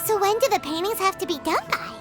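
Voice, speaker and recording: A woman voicing a small, excitable, childlike fairy companion in an anime video game, exclaiming as she talks. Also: very high voice, quick pace. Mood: curious. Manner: refers to self in third person, exclaiming. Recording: music playing behind the voice.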